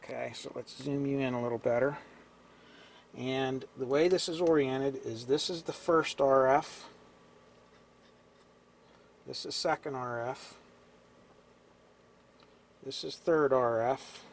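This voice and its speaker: A man with a hoarse, fading voice, casually explaining an old radio repair at an electronics workbench, clearing his throat now and then. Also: mid-range voice, slow pace, long pauses, a little hiss or hum. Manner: relaxed, talks while tinkering, frequent throat clearing. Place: cluttered electronics workbench indoors.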